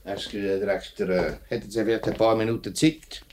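Deep-voiced, straightforward Swiss German worker played by an actor. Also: male voice, fairly even intonation, fairly quick, noisy background, close to an everyday voice. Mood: polite, firm. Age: late 30s. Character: straightforward, dutiful factory worker and union man, nearly forty. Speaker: actor in a radio play. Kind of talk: 1950s Swiss radio drama acting.

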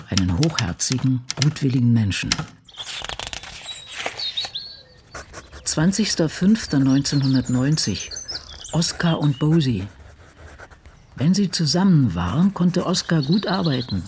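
A man playing an ageing, solitary woman crime novelist in a radio drama, musing in a deliberate, wry way. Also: medium pitch, noisy background. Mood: brooding. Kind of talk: literary radio drama monologue, reading diaries and drafts.

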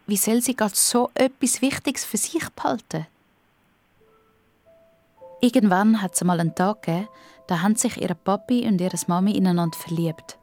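Casting: female narrator for a children's audio story, Swiss German accent, mid-range pitch, music underneath. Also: moderate pace, darkish tone.

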